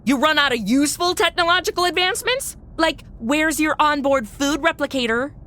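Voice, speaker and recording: Snarky young woman, lead comic role, bright and animated. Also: high-pitched, fairly quick, a little hiss or hum. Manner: sarcastic, snarky asides. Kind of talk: comedy audio drama acting.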